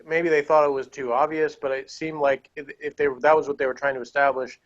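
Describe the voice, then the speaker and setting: A man's voice: fairly high pitch, level tone, fast talker, lo-fi sound. A man chatting casually as he reviews a TV show, with a blunt, opinionated manner. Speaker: podcast reviewer.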